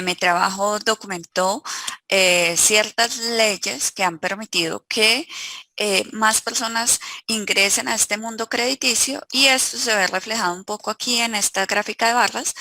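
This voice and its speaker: A woman giving an academic conference talk over a video call, pausing often with filler sounds. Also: mid-range voice, pushed and bright, no room sound. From Colombia. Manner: hesitant, many filler sounds. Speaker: academic presenter.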